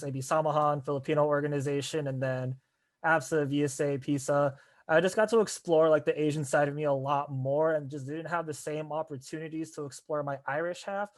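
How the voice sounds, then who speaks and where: A young man, a former club advisor, talking in an easygoing, unhurried way on a casual podcast. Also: a little high, dry recording. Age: young adult.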